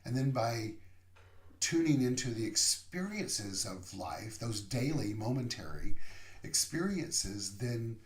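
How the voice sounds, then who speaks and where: A man giving a slow, reflective sermon as a minister, pausing often between phrases. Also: medium pitch, expressive. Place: room, via online service. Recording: roomy echo.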